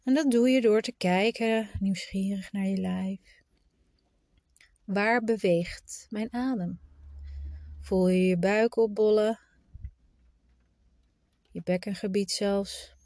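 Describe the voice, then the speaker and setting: Slow-paced woman guiding a meditation. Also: medium pitch, fairly even intonation, darkish tone, no echo, clean studio sound. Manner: informal, instructive, with unhurried pauses. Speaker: meditation guide. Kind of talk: guided meditation and breathing exercise.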